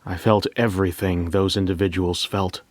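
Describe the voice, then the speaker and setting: A man narrating a scripted first-person story as a bank manager, in a measured, reflective way with deliberate pauses. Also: sits low, little pitch movement. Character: bank manager recounting a memory heist.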